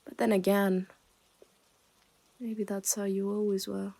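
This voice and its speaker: A woman acts out a possessive yandere girl who has kidnapped her listener. She talks very slowly at a middle pitch, smug and teasing, often slipping into whispers. It is an intimate, condescending ASMR roleplay monologue. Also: flat delivery, vocal fry, warm tone. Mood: cold pity.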